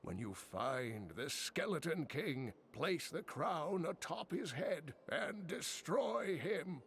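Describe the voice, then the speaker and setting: An actor playing an elderly, kindly and learned uncle in a fantasy video game, speaking expressively with grave foreboding. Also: male voice, on the high side, slight background noise, heavily acted. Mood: solemn. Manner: slow, expressive, foreboding storytelling.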